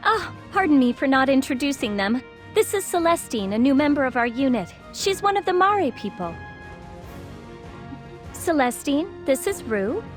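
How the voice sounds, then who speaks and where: A woman's voice: high voice, big pitch swings, normal speed, faint music behind. A woman playing a young princess commander in a video game, gracious, loyal and willful, polite and earnest. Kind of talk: video game cutscene voice acting.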